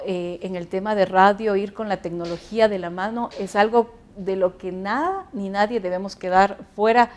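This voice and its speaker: A woman's voice: mid-range voice, a little mellow. A woman, a radio broadcaster invited as a guest, speaking with enthusiasm and plenty of fillers and restarts. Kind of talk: panel discussion answers.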